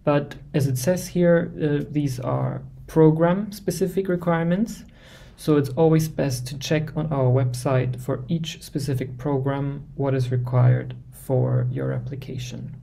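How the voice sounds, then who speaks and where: A young man presenting a university admissions webinar over a video call, explaining steps in a measured way with frequent fillers. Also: a little high, steady tone, creaky, warm tone, slight background noise.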